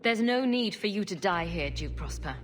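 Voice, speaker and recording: An actress playing a calm, diplomatic heroine in a video game, with a measured, composed delivery. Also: female voice, mid-range voice, slight background noise, lightly acted. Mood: firm, calm. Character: calm, diplomatic heroine, adult female champion. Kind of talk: video game dramatic acting.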